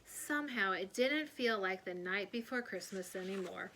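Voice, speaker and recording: A woman reading a children's story aloud in an expressive, animated storytelling manner. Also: medium pitch, moderate pace. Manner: animated, putting on character voices. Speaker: read-aloud storyteller, teacher.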